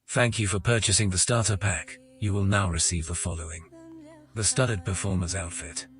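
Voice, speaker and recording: An actor narrating a fantasy audio game as a calm, measured male storyteller. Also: low voice. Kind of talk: scripted narration.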